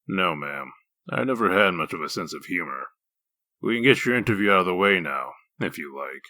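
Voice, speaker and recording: A man playing a gruff, humorless wolf-man detective in a comic dub, curt, stern and deadpan. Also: low voice, a little fast, no echo, clean studio sound. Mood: deadpan challenge. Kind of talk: comic dub character acting.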